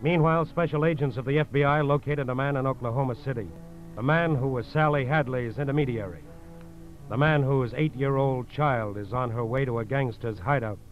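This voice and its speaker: A male narrator reads in a solemn, suspenseful vintage radio style with a medium-pitched voice. Prominent music plays behind him, and the recording is dull. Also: fairly quick. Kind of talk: 1940s radio scripted dramatic narration. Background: dramatic music.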